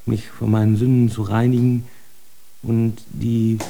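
A man's voice: medium pitch, level tone, a little slow, creaky, warm tone. An actor playing a humbled accused man who confesses to the Inquisition in a submissive, formal way, in a German radio drama. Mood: contrite, self-abasing.

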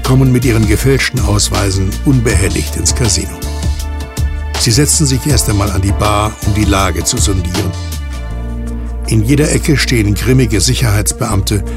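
Male narrator for an audio drama, low register, scripted storytelling over clear music.

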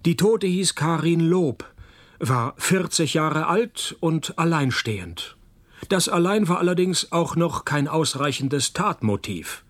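An actor playing a wry, cynical private detective who narrates a radio play with dry, deadpan, laconic delivery and a husky voice. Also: male voice, fairly high pitch, takes it slowly, exaggerated voice. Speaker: detective and first-person narrator.

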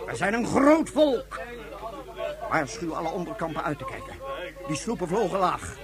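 A self-important ruler in a radio drama. His voice sits high for a man and he talks haltingly, with indignation. A clear crowd murmurs behind him. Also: very animated. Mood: indignant, resolute. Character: pompous, flustered male supreme leader of salt people. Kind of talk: radio play acting.

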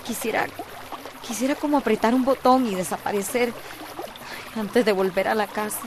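Actress playing scared sensitive tica girl, radio soap, costa rican accent, highish voice, noisy ambience. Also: normal speed, close to an everyday voice. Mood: melancholy. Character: Natalie, frightened, sensitive runaway daughter. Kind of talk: radio soap opera acting. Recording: clear noisy outdoor ambience.

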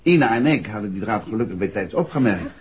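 An actor playing an assured detective husband explaining a case in a 1960s Dutch radio drama. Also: male voice, lowish voice, expressive, fast, breathy edge. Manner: expository, assured, conversational. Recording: muffled, dull 1960s radio recording.

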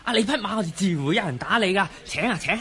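A man's voice: high-pitched, animated, rapid-fire, pushed and bright. A man acting an obliging, fussy inn waiter in a Cantonese radio drama, with servile welcoming patter. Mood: welcoming, eager.

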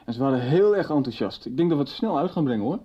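An actor playing an easygoing record producer. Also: male voice, mid-range voice, expressive, fast, slightly rough. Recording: dull lo-fi radio play.

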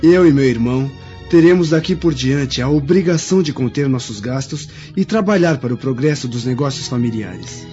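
A man's voice: mid-range voice, animated, normal speed, hardly any pauses, mellow, close to an everyday voice. A man playing a dutiful young Roman nobleman in a Brazilian radio drama, earnest and formal. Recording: muffled recording with faint music.

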